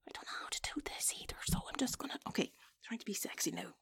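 Woman acting as flustered irish wife, breathy n husky, close dry sound, self-conscious sexy talk in an audio roleplay. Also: medium pitch, expressive, a bit bright, no echo, exaggerated voice. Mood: nervous, teasing. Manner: halting, self-conscious, coaxing, drifting into breathy whispers. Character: flustered, chatty Irish wife talking intimately to her partner. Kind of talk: intimate erotic audio roleplay.

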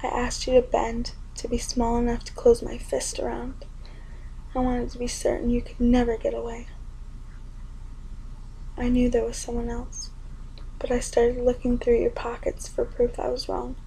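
A young woman performing spoken word poetry in an intimate, often whispered delivery with a gravelly edge. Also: a little high, completely flat delivery, normal speed, long pauses, mellow, slight background noise, rough recording.

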